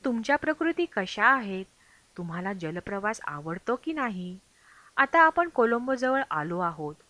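A woman's voice: mid-range voice, big pitch swings, a little slow, sharp tone, dry recording. A woman audiobook reader voicing a kindly, polite male ship's captain who attends to his passengers. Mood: courteous.

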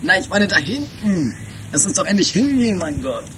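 Man acting a pedantic young fiancé, animated intonation, nagging, hesitant, comedy radio play, noisy lo-fi sound. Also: high voice, normal speed. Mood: fussy, exasperated. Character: fussy, know-it-all young fiancé. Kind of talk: improvised 1980s trash comedy radio play. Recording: noisy lo-fi 1980s amateur radio recording.

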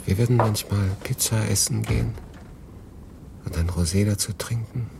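A man's voice: fairly low pitch, moderate pace, very noisy. An actor playing a melancholy, detached male refugee who narrates his story in a pensive, understated radio drama style. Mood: quietly longing. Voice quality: husky.

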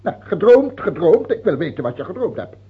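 A muffled, phone-like radio play recording of a tyrannical king. His husky, creaking voice swoops widely. He is capricious and suspicious, repeating himself as he slides between wheedling and command. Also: a little high, big pitch swings, a little fast, exaggerated voice. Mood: suspicious, mocking. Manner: repeats himself, swings from wheedling whispers to shouting. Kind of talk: old radio drama acting.

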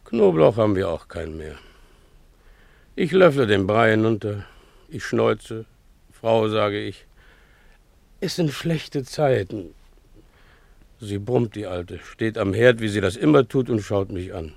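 An actor playing a poor, rough donkey driver in a radio play, servile and plaintive, with a gravelly, hesitant delivery. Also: male voice, on the low side, expressive, measured pace, long pauses, darkish tone, no echo, exaggerated voice. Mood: gloomy, resigned. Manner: hesitant, stammering deference, plaintive muttering. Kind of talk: German radio play acting with first-person narration.

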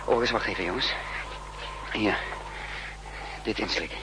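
An actor playing a decisive, practical spy leader in a 1960s radio play, giving orders and explanations in a commanding manner. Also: male voice, lowish voice, normal speed, close to an everyday voice. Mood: resolute. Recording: noisy 1960s radio drama recording.

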